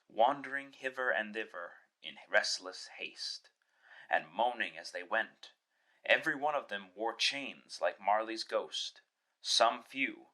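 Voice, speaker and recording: A man narrating a classic story in a scripted, dramatic style with measured, literary delivery. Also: on the low side, pushed and bright.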